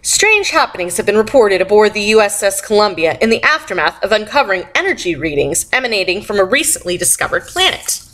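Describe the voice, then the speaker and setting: A woman's voice: medium pitch, very animated, fast, hardly any pauses, fairly bright tone. A woman presenting a podcast news segment in a scripted narration style.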